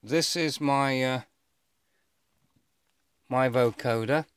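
Middle-aged male vlogger, unhurried and halting, smooth clean voice, casual show-and-tell to a handheld camera. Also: medium pitch. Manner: halting, rambling, thinking aloud. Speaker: vlogger showing his own gear. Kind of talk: casual unboxing commentary to camera. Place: indoors, bedroom, filming over a bed. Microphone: handheld camera or phone held by the speaker.

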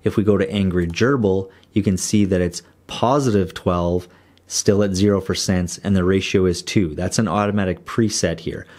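A man explaining audio editing software in a steady screen-recorded tutorial voice-over. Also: low-pitched, normal speed.

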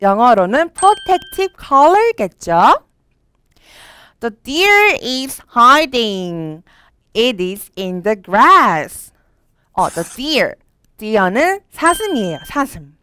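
A young woman teaching a storybook lesson at a very slow, careful pace. Her pitch is a little high and rises and falls a lot. Her voice is smooth and clear, recorded dry in a studio. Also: very animated, sharp tone, no echo. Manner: slow, clear reading of English lines with Korean explanations. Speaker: English teacher for children. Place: studio with a green screen.

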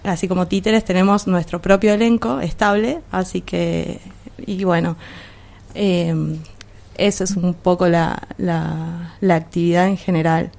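A woman with an Argentine accent explaining things informally in a radio interview, hesitantly and with frequent pauses. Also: lowish voice, steady tone, gravelly, a little mellow. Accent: Argentine Spanish. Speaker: guest, art school administrator.

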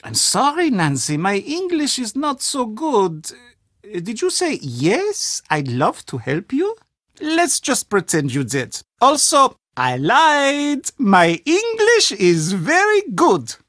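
An actor playing an anxious, gloomy older castle official in a video game, with a German accent and a fretful, melodramatic, formal way of talking. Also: male voice, very high voice, huge pitch swings, takes it slowly, fairly bright tone, dry recording, clean studio sound, heavily acted. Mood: wry, playful. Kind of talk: video game character acting.

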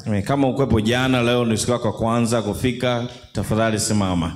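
A man interpreting at a church service, echoing each line quickly with small fillers, into a handheld mic. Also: medium pitch, steady tone, over loud music. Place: church hall.

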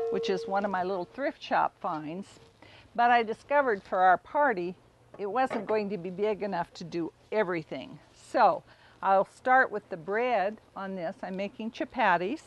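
A middle-aged woman giving a casual, unhurried cooking demonstration, explaining informally as she works outdoors. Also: mid-range voice, highs cut off. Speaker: home cook demonstrating a recipe. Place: outdoors in a backyard garden.

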